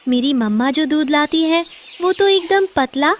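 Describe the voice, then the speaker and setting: Woman voicing a very high-pitched boy crow. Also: lively intonation, a little slow, a little hiss or hum. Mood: curious, delighted. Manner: childish, pleading. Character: whiny, eager young boy crow. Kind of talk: children's cartoon voice acting.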